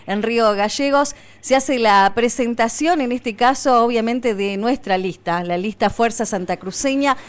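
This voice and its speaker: A woman's voice: a little high, normal speed, few pauses, clean tone. A woman radio host with an Argentine accent, running an interview in a chatty way with many fillers and restarts. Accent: Argentine Spanish.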